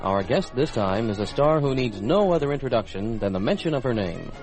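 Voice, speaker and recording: A man's voice: mid-range voice, expressive, brisk, a little mellow, faint music behind, poor audio. A man with an American accent making scripted military radio announcements in a formal, polished announcer's delivery. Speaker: radio program host and announcer.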